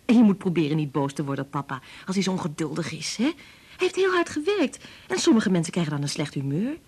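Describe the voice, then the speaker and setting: An actress playing a kind, patient woman scientist and protective mother in a Dutch radio play, explaining things in a measured way. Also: on the low side, very animated, a little mellow, breathy edge. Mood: soothing.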